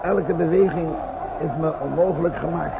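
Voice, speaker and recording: Creaky, philosophical male adventurer. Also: a little high, fairly even intonation, normal speed. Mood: resigned, wry. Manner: formal, wry, sententious. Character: philosophical, wordy male captive adventurer, wryly resigned. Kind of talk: old radio play acting. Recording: very noisy, dull old radio recording.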